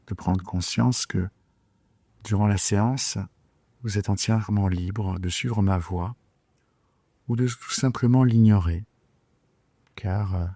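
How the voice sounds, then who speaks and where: A man leading a guided sleep meditation in a soothing, unhurried, hesitant way with long pauses. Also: fairly low pitch, breathy edge, no room sound. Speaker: yoga nidra guide. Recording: soft guitar music behind the voice. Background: gentle plucked guitar music.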